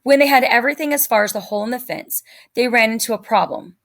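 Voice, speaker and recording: A woman's voice: on the high side, lively intonation, quick pace, fairly bright tone. A woman teacher narrating a children's novel as a read-aloud.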